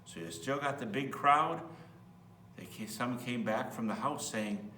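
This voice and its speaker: A man's voice: mid-range voice, a little slow, pushed and bright. A man reading a children's Bible story aloud as a priest, expressively, with simple asides to young listeners. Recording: roomy, distant mic, faint hum.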